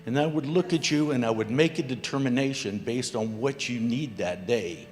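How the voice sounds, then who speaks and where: A man's voice: mid-range voice, moderate pace. A middle-aged man from the Congo giving earnest, reflective remarks from the floor at a panel event. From Democratic Republic of the Congo. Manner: earnest, reflective, quotes proverbs. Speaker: audience member asking from the floor. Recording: reverberant hall.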